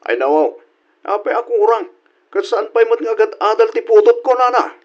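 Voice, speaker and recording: A man's voice: high-pitched, lively intonation, brisk. A man playing a dutiful adult son and hardworking father in a radio drama, speaking earnestly and respectfully. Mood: protesting. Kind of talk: comedy radio drama acting. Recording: dull, lo-fi sound.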